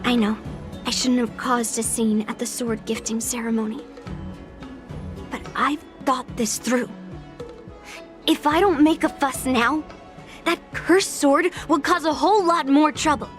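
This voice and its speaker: A woman's voice: high-pitched, steady tone, measured pace, pauses often, faint music behind. A woman playing a serious, headstrong young swordswoman in a video game, speaking with defiant determination. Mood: restless, stubborn. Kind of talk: video game character acting.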